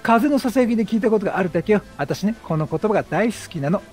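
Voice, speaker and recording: A man's voice: high-pitched, lively intonation, quick pace, darkish tone, faint music behind. A man voicing a flamboyant, effeminate male entertainer from a video game, a motherly and proud mentor with firm, encouraging phrasing. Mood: kindly firm.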